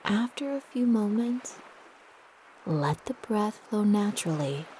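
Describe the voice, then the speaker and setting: A woman narrating a guided meditation in a soft, breathy, gentle and soothing manner with long pauses. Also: mid-range voice, expressive, slight background noise, rough recording.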